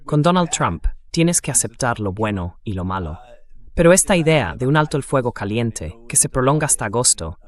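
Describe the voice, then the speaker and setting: A synthetic AI man's voice reading a translated voice-over of political interview commentary in a conversational style. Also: mid-range voice.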